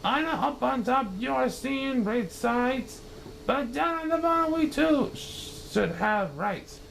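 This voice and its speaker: A man's voice: very high voice, expressive, slow, creaky, fairly bright tone, slight background noise, voice pushed up, exaggerated voice, rough recording. A man playing a meek, aching little turtle. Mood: pleading. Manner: pleading, polite, strained. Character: meek, weary little male turtle at the bottom.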